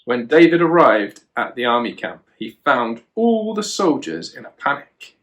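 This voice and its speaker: A man's voice: mid-range voice, big pitch swings, measured pace, low-quality recording. A man reading a children's storybook aloud, with chatty asides to a child. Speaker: children and youth worker, storyteller.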